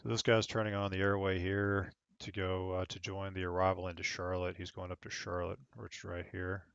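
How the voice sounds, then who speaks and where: Low, gravelly, even-toned man, controller. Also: a little fast, lo-fi sound. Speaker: air traffic controller on a flight simulation network, streaming. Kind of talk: radio phraseology and casual explanatory commentary.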